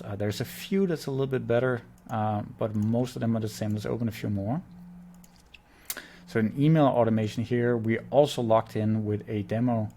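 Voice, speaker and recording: A man's voice: on the low side, darkish tone, slight background noise. A man giving an unscripted, blunt and opinionated screen-share critique as a marketing consultant. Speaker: conversion-rate marketing consultant.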